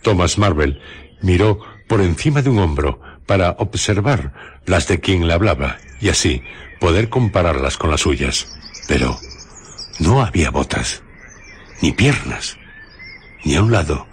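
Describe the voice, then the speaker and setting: A man narrating a scripted radio drama in a measured, literary way. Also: low-pitched, expressive, a little hiss or hum.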